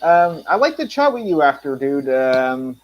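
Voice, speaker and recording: A man's voice: on the high side, very animated, unhurried, lo-fi sound. A man in his thirties hosting his own channel, chatting casually on a live stream with lots of fillers and restarts. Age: 30s. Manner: rambling, many fillers and restarts. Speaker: channel host.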